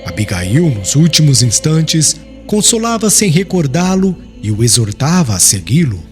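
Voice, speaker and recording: A man's voice: fairly high pitch, big pitch swings, moderate pace, faint music behind. A man with a Brazilian accent reading a dramatic audiobook narration in a solemn, literary way. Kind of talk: scripted dramatic storytelling narration.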